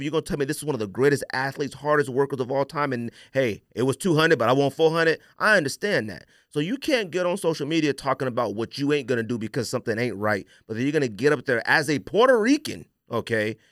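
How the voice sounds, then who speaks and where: A man's voice: medium pitch, lively intonation, fast talker, hardly any pauses, fairly bright tone, no echo. Guy podcast host going off, blunt and opinionated, voice swings all over, dry close sound. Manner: blunt, emphatic, rhetorical questions and repeated tag words. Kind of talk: opinionated casual sports-and-sneaker podcast rant.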